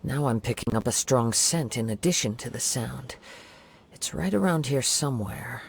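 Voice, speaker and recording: A man voicing a calm young wandering samurai in a video game, speaking in a measured, thoughtful way. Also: medium pitch, gravelly, slight background noise. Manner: measured, thoughtful and soft-spoken. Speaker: investigating hero.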